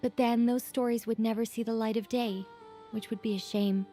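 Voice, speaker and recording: Actress as a female video game writer, fairly high voice, breezy, teasing, self-assured, music underneath. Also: fairly even intonation, normal speed, dark tone, natural voice. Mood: breezy, coaxing. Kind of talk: English video game voice acting.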